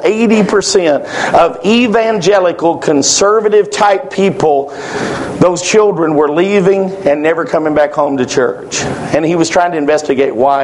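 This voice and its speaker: A man's voice: on the high side, slight background noise, rough recording. Earnest preacher man in his forties. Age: 40s. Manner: earnest, repetitive emphatic phrasing. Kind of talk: sermon. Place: church.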